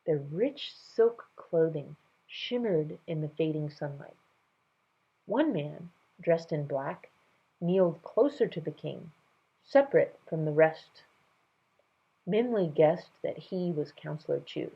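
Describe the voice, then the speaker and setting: A woman reading a storybook aloud as a storyteller, in an expressive scripted narration. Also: low-pitched, takes it slowly, creaky, breathy edge, highs cut off.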